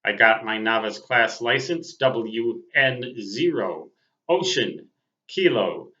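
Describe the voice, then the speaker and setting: Slow, bright-voiced American man in his sixties. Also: on the low side, expressive. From Minnesota, United States. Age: 60s. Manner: deliberate, precise, spells out terms phonetically. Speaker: host, amateur radio operator. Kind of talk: casual reminiscing monologue to viewers. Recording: dull, lo-fi sound.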